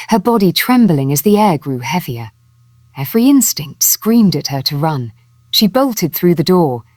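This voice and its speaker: A woman storyteller with a low voice narrates a dramatic folktale. Her delivery is expressive, rising and falling widely, and the sound is close and dry. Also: dry recording.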